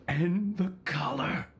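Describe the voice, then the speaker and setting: An actor playing an opinionated, effusive old male tavern drinker in an anime game, theatrical and exaggerated. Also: sits high. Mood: awed.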